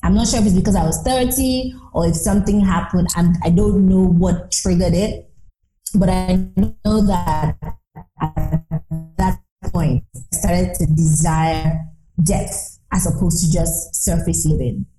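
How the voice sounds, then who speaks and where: A woman's voice: on the low side, unhurried, music in the background. A woman in her thirties speaking reflectively as an interview guest, earnest and emphatic, building her points through repetition. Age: 30s. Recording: roomy, echoing sound.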